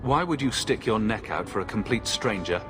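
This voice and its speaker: A man acts a sceptical adventurer in a fantasy video game. His voice is fairly low, with a dry, guarded and questioning delivery over clear background music. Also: expressive, moderate pace, close to an everyday voice. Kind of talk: video game dialogue acting.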